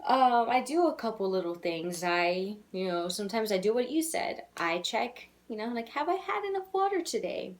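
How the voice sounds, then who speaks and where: A young woman with a childish-sounding voice, talking candidly and rambling in a casual video letter to her twin, laughing softly at herself. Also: medium pitch, expressive, normal speed, hardly any pauses. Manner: candid, rambling, self-deprecating with frequent soft laughs. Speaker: vlogger.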